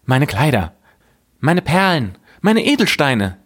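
Man putting on a young, lively fairy-tale princess. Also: on the high side, takes it slowly, pitched above the performer's own voice, full character voice. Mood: eager offering. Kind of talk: storybook character voice.

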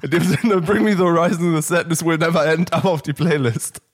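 A man in his late twenties co-hosting a casual podcast chat, joking, laughing often and filling pauses with hesitations. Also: a little high, steady tone. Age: late 20s. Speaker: podcast co-host.

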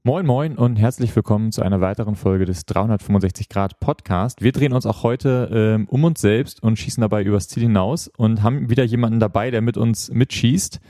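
A male podcast host with a soft, mellow tone. He chats casually, in a dry, close-sounding recording. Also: fairly low pitch, brisk, few pauses, dry recording.

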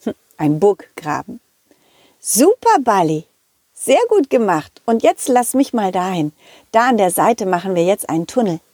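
A woman's voice: mid-range voice, sing-song, a little slow, darkish tone, breathy edge, no echo, studio quality. A woman voicing a cheerful, loyal male dog who tells his own adventure to children in a chatty, giggly way. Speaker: storyteller. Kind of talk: children's audio play narration.

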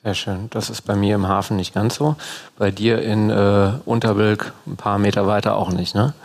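A man's voice: lowish voice, flat delivery, moderate pace. A man casually moderating a live panel as podcast host.

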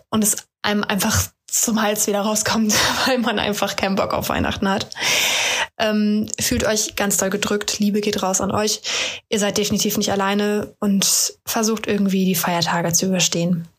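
A woman speaks in a flat, even tone with little rise and fall, sounding sympathetic and consoling. Her delivery is casual and personal. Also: mid-range voice.

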